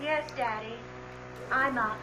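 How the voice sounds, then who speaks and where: A woman's voice: a little high, slow. An actress playing a listless, lovelorn young woman, a sleepless professor's daughter in a radio drama. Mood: listless, weary. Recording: muffled old radio recording, faint noise.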